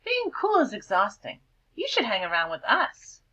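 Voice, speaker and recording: Woman reading aloud, doing a snide chorus of nerdy birds: loud, high, sneering, very lively. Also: moderate pace, exaggerated voice. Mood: welcoming. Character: snooty flock of nerdy cartoon birds.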